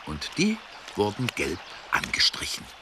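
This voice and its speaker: A man's voice: fairly low pitch, huge pitch swings, measured pace, background noise. A man narrating a scripted children's story.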